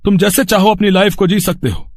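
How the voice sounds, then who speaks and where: A man's voice: high voice, fairly even intonation, fairly quick, no room sound, studio quality. A man playing a distressed, earnest father in a cartoon moral story, speaking emotionally. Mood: hurt, reproachful. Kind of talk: animated moral story dialogue.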